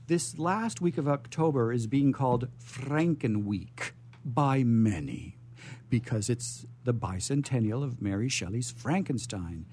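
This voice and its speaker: Male radio host for live introductions: animated, rambling, playful, with noise behind the voice. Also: medium pitch. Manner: playful, rambling, theatrical phrasing.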